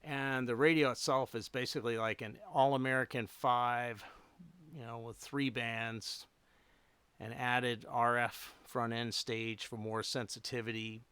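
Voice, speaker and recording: A man chatting casually and unscripted as a hobbyist livestream host, rambling a little and thinking aloud in halting phrases. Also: medium pitch, flat delivery, fairly bright tone, smooth, dry recording. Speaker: radio repair hobbyist hosting a livestream.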